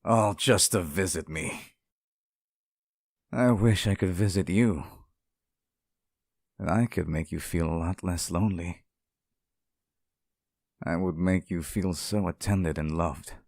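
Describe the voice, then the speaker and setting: A man voicing a lonely, sweet and possessively devoted sealed demon in an intimate ASMR roleplay, speaking softly, with tender, hesitant pleading. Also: sits low, slow, pauses often, no echo, studio quality, heavily acted. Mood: lonely, brooding. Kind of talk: ASMR roleplay, intimate scripted monologue to the listener.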